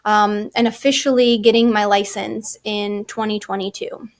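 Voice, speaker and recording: A woman's voice: medium pitch, level tone, moderate pace, crowd noise. A woman, a guest in an interview, talking casually with many fillers. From Czech Republic, raised in California. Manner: chatty, fillers and restarts, frequent "like" and "you know". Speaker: guest, architect and firm founder.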